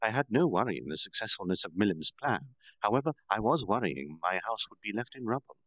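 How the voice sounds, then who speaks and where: A man voicing an adult female harpy demon lord who speaks measured and quietly stern. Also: lowish voice, sing-song, no room sound, low-quality recording. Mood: calm.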